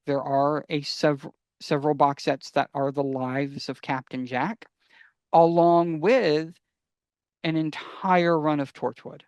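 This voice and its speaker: A man hosting a casual fan podcast, talking enthusiastically and sometimes haltingly as he gives recommendations. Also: fairly high pitch, steady tone, a little mellow, clear, resonant tone, dry recording. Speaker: podcast host.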